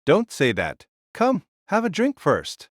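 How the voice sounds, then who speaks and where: A man's voice: on the high side, huge pitch swings, slow pace, no room sound, clean studio sound, exaggerated voice. A man voicing a jovial giant warrior king, hearty and easygoing, in an audio drama reading.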